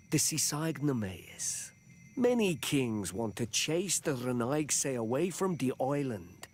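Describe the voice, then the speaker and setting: Game role: solemn, diplomatic village chief, formal and slow, over faint background ambience. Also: male voice, medium pitch, a little mellow, a little hiss or hum. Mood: earnest, diplomatic. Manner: measured, formal, ceremonious. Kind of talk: video game character dialogue.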